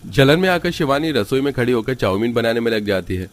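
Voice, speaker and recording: A man's voice: mid-range voice, expressive, fairly quick. A man reading scripted narration for a Hindi family cartoon story.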